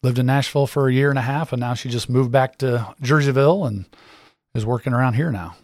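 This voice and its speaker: A man's voice: medium pitch, quick pace, no echo, studio quality. Easygoing fifty-year-old man from Iowa. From Central Iowa. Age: 50s. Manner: easygoing, short agreeable replies. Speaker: interview guest, insurance agent. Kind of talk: casual interview chat. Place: small radio studio.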